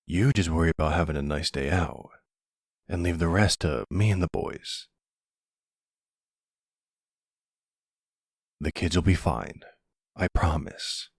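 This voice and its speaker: A man playing a protective, doting middle-aged mafia boss in an intimate roleplay, speaking slowly with pet names in a gruff, husky voice. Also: no echo, clean studio sound. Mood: reassuring. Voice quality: low gravelly, husky. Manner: slow, affectionate, uses pet names. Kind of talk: intimate ASMR boyfriend roleplay.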